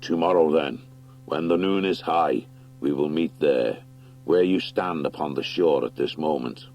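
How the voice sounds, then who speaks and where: Man voicing a deep, solemn badger warlord. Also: lo-fi sound, faint music behind. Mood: formal, resolute. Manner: measured, solemn, commanding. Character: stern noble badger warlord, grave commander. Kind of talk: audiobook character acting.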